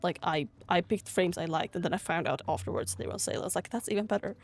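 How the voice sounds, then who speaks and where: A woman's voice: fairly low pitch, fast talker. A woman from Sweden chatting casually over gameplay in a gushing, rambling way, sounding a bit tired. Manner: gushing over cute things. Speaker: gaming vlogger.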